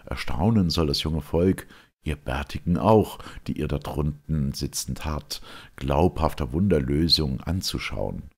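Man voicing an ancient sinister crone, animated pitch, husky, slow, declamatory, verse drama. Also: exaggerated voice. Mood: commanding. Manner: theatrical, declamatory. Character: ancient sinister hag storyteller Phorkyas, animated and boastful.

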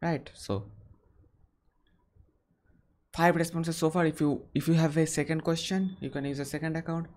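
A young man answers viewer questions on a live stream from a small room. He speaks earnestly and haltingly, often restarting his sentences, and is recorded on a webcam. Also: fairly high pitch, steady tone, a little mellow. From India. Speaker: English-learning channel host.

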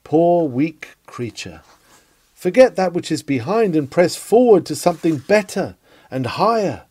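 A man's voice: a little high, darkish tone, dry recording, studio quality. A man reading a historical sermon aloud in an earnest, exhortatory and rhetorical manner, with dramatic delivery.

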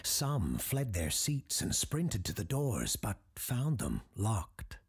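An actor playing a grave, scholarly doctor who recounts dark legends in a horror audio drama, husky and ominous, with deliberate dramatic pauses. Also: male voice, fairly low pitch, wildly swooping pitch, full character voice. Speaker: character host telling a tale.

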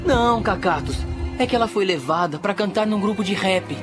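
A woman's voice: medium pitch, animated, a little fast, over music, lightly acted. A woman voicing a kind young male cricket hero in a cartoon dub, earnest and lovesick, with a Brazilian accent. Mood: lovesick, sad. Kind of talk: animated cartoon dub acting.

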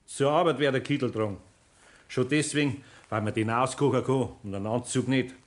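An actor playing a patient but firm older master carpenter with a Bavarian accent, speaking in a homely, deliberate way in a 1960s radio play. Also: male voice, mid-range voice, fairly even intonation, close to an everyday voice. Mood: patient, instructing.

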